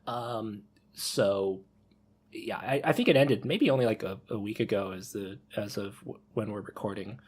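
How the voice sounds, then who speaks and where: A man's voice: lowish voice, moderate pace, slightly rough. A man co-hosting a podcast, talking casually and giving a prepared review with playful asides. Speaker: podcast co-host.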